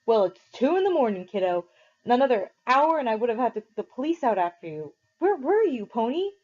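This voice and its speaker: A woman's voice: a little high, expressive, brisk, voice pushed up. A woman voicing a stern young man who scolds his little brother at the top of his voice, on a dull lo-fi recording. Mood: angry scolding. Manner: shouting, scolding.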